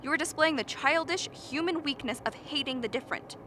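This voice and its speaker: A woman's voice: sits high, a little fast, sharp tone, a little hiss or hum. A woman playing an earnest, impatient biologist in a radio drama, lecturing and argumentative. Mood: indignant. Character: earnest, impatient expedition biologist defending a frozen creature. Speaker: actor in a radio play.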